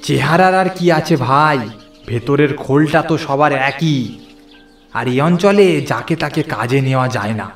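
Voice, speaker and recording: Man acting easygoing male friend, cartoon, casual reassurance, creaky, echoey. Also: on the high side, unhurried. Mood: reassuring. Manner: casual, matter-of-fact. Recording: echoey, music underneath.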